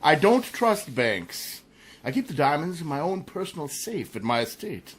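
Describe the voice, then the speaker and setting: A man's voice: on the high side, sing-song, moderate pace, slight background noise. An actor playing an anxious, gullible wealthy man in a melodramatic radio mystery. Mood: anxious, fretful. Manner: fretful, melodramatic delivery. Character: anxious, gullible wealthy male diamond collector in therapy. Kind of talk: radio mystery drama acting.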